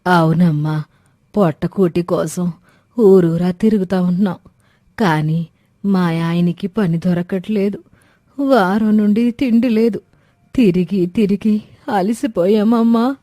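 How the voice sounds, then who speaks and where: A woman's voice: mid-range voice, slow, pauses often, warm tone, dry recording, studio quality, natural voice. A woman voicing a humble, grateful poor village maid in a storybook tale, deferential and plaintive. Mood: weary.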